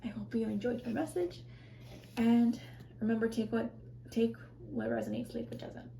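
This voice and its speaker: A woman with a scratchy, strained voice reading tarot in a casual, rambling way, often correcting herself and speaking softly to viewers. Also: a little high, steady tone, normal speed, gravelly, echoey room. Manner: rambling, self-correcting, soft and reassuring. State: scratchy, strained voice, clearing her throat. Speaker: tarot reader.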